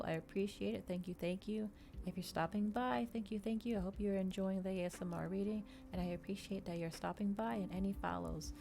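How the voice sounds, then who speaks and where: A woman doing a soft ASMR reading of a story, with measured, expressive storytelling, as a streamer reading aloud to her listeners. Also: mid-range voice, creaky, slight background noise.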